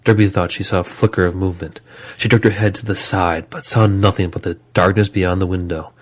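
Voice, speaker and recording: A man with a low voice narrates a scripted horror story. He tells it dramatically, as the narrator of an audio drama. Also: steady tone, fairly quick.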